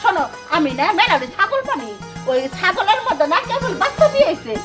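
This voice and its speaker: A woman playing a loud, bossy, scatterbrained old grandmother in a comic short film, exclaiming and scolding in a rural Bangladeshi accent. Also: very high-pitched, sing-song, fairly quick. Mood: domineering. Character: loud, quick-tempered old village grandmother, scatterbrained and domineering. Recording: loud music behind.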